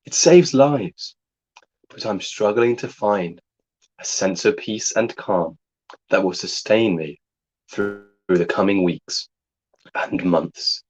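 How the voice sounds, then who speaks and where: A young man with a fairly low voice co-hosts a relaxed livestream chat. He speaks calmly and deliberately, giving steady advice. The audio is dull and lo-fi. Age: young adult. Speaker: co-host.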